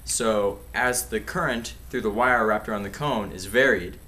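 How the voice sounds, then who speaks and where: A young man with a slightly low voice gives an explanatory presentation. He is recorded at a distance in an echoey room, with faint noise behind him. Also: normal speed, bright, forceful tone. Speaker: student presenter.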